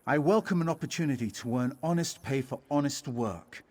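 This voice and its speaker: A man's voice: medium pitch, fairly quick, a little mellow, natural voice. A man voicing a genteel, slightly pompous traveller in a video game, with formal, wordy, apologetic speech. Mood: reluctant, grateful. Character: genteel, fussy, slightly pompous male traveller seeking honest work. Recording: music behind the voice.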